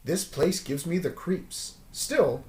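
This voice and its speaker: Young adventurer, read by a male storyteller: eager, impatient, animated pitch, roomy recording. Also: takes it slowly. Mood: uneasy.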